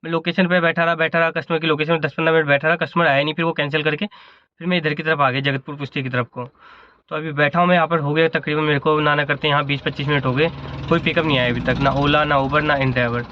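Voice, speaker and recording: A man's voice: a little high, little pitch movement, fast talker, lo-fi sound, slight background noise. A man, a ride-hailing driver, vlogs casually from his car and a fuel station, rambling and correcting himself, with a slightly sore throat. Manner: chatty, rambling with self-corrections. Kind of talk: casual vlog narration.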